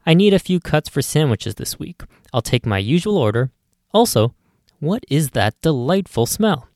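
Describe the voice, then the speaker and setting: A man's voice: on the high side, sing-song, normal speed, a little mellow, no echo, studio quality. A man voicing a polite, flustered young woman customer, speaking in a chirpy, well-mannered way.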